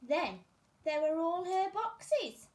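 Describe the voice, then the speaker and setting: A woman teacher reading a children's story aloud, expressively and deliberately, as if to young pupils. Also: very high-pitched, sing-song, darkish tone.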